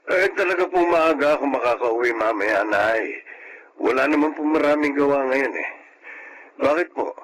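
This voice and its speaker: An actor playing an honest, forgiving Filipino family man in a radio drama, with an expressive, earnest delivery and a Filipino accent. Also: medium pitch, moderate pace, poor audio. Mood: gentle, concerned. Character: honest, forgiving Filipino husband, son and father.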